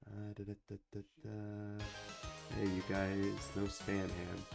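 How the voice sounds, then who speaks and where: A man's voice: on the low side, monotone, slow pace, vocal fry, music in the background. A man, an animator and channel producer, chatting casually on a stream and reading comments, rambling with lots of fillers and restarts. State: recovering from a week of sickness.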